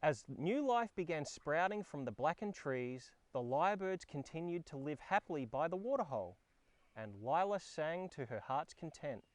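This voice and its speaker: An Australian man narrating a children's storybook in a dramatic, expressive way. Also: on the high side, moderate pace. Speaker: storyteller and host. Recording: background ambience and effects noise. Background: sound effects and ambience.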